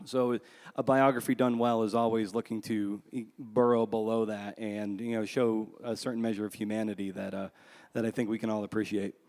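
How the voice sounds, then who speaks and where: A man's voice: lowish voice, level tone, a little fast, darkish tone. A man being interviewed as an author at a live event, talking in a conversational, self-deprecating and rambling way. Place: large room at a live book event. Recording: echoey hall with long reverb.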